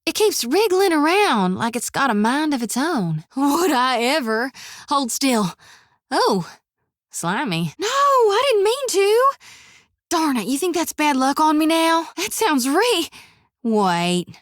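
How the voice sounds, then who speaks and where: This is a plucky, warm-hearted young priestess, speaking in a plainspoken rural drawl. Her voice sits a little higher than most women's. The sound is close and dry, with no room around it. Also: big pitch swings, slow pace, no echo, exaggerated voice. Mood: wry. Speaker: companion character. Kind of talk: video game voice acting. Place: recording studio.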